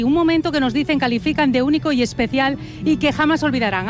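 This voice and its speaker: A woman reporting live from location. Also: high voice. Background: faint music.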